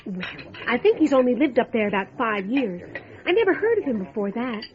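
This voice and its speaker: An actress playing a wry, chatty small-town diner waitress, telling what she knows in a musing, expressive way, in old-time radio drama style. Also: a little high, a little fast, highs cut off, a little hiss or hum. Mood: musing, gossipy. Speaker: voice actor.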